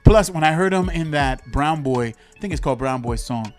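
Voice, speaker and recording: A man from Miami co-hosting a podcast, talking music in an animated, slangy way with frequent restarts. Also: on the high side, brisk. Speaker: podcast co-host. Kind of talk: casual music chat.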